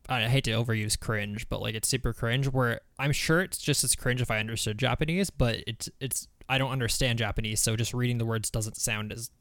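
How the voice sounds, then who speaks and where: A podcast co-host chatting loosely, leaning on "like" and slang. His voice sits at an ordinary pitch for a man. The sound is dry, with no room echo. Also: fairly even intonation, fairly quick, few pauses, a bit bright. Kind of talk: casual chat.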